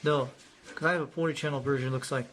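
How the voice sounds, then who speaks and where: A man's voice: mid-range voice, fairly even intonation, fast talker, fairly bright tone, slight background noise. A man narrating casually as he shows off an old radio, rambling and repeating himself. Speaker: hobbyist showing a radio. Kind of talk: casual show-and-tell narration.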